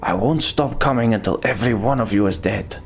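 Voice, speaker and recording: A man voicing a vengeful warrior consumed by rage, speaking slowly with cold menace. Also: fairly low pitch, soft background music, natural voice. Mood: threatening. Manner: cold, threatening, slow.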